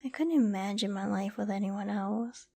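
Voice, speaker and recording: A woman's voice: medium pitch, normal speed, creaky, breathy edge. A woman playing a shy, loving, easily flustered young girlfriend in an intimate ASMR bedtime roleplay, speaking tenderly and hesitantly. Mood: tender whispering. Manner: tender, hesitant, soft-spoken with stammers.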